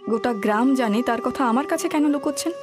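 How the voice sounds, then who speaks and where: A woman's voice: a little high, fast talker, over music, lightly acted. A woman playing an anxious, indignant young daughter-in-law in a cartoon horror story. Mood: questioning, indignant.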